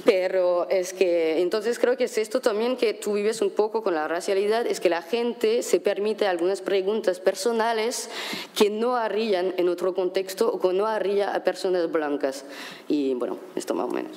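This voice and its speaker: A woman speaks on a conference panel at an ordinary middle pitch. She talks frankly and conversationally, leaning on casual filler phrases as she goes. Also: moderate pace, a little mellow. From grew up in France. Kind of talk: candid panel talk.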